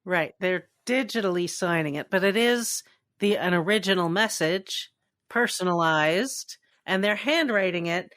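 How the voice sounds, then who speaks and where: A woman's voice: fairly low pitch, expressive, a little slow, fairly bright tone, no echo, studio quality. A woman co-hosting a podcast, chatting casually about the news. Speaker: podcast co-host.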